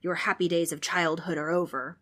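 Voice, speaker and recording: A woman's voice: on the low side, level tone, brisk, a bit bright. A woman voicing a grumpy, pompous old male ghost who lectures a group of children. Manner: bossy, irritable, grandly spooky.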